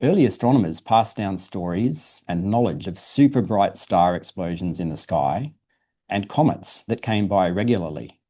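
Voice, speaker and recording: Low, mellow-voiced man reading to children. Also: animated, normal speed. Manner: simple, explanatory storytelling for children. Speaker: guest presenter from a dinosaur museum. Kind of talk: reading a children's science book aloud.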